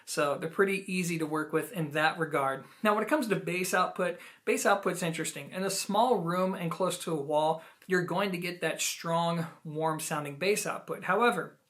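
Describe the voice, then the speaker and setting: A man's voice: on the high side, moderate pace, hardly any pauses, fairly bright tone. A man hosting a product review, explaining things conversationally to viewers with emphatic, personal opinions. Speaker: audio gear reviewer and host. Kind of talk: video product review, explanatory talk to camera.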